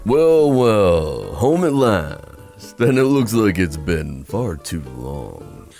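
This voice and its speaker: A boastful, playful sea god, acted by a man in a fantasy audio roleplay. The voice is low and gravelly, with theatrical, drawn-out phrasing that takes its time. Faint music plays underneath as he teases and schemes. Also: sing-song, dark tone, heavily acted. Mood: jovial, grand. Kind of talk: ASMR fantasy roleplay acting.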